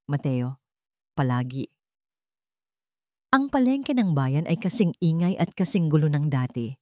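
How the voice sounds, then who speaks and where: A woman with a Filipino accent reading a dramatic scripted story in measured, emotive short phrases. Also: fairly low pitch, mellow, no room sound, studio quality. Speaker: story narrator.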